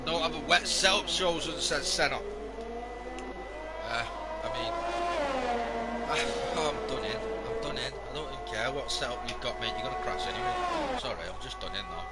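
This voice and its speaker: A man commentating a live sim racing stream, hoarse and losing his voice, joking wearily with the chat. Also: medium pitch, wildly swooping pitch, moderate pace, long pauses, loud music behind the voice. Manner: weary, self-mocking banter with the chat.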